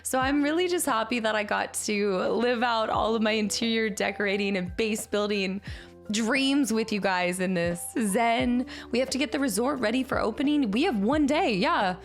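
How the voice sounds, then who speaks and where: A woman streamer chatting casually with her viewers and thanking them, upbeat, her voice a little raspy today. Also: fairly high pitch. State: slightly raspy voice. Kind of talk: casual stream chat reading chat. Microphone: streaming mic.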